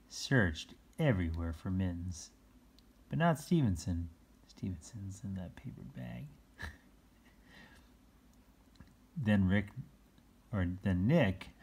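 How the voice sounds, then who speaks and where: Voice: sits low, animated, takes it slowly, pauses often, a little mellow, breathy edge. A person reading a children's picture book aloud in an informal, chatty way, adding asides and explaining words simply to young listeners.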